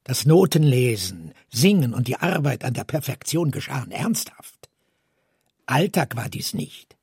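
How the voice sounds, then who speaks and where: A man's voice: medium pitch, sing-song, measured pace, vocal fry, a little mellow, husky, no room sound, studio quality. A man reading literary prose and quotations aloud as a co-reader.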